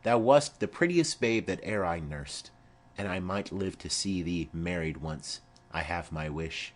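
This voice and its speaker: Guy doing an old nurse lady from shakespeare, bawdy n fond, slow rambling, pitch all over. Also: lively intonation, slight background noise. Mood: fond, excitable. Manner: rambling, exclamatory. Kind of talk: amateur-style Shakespeare play reading.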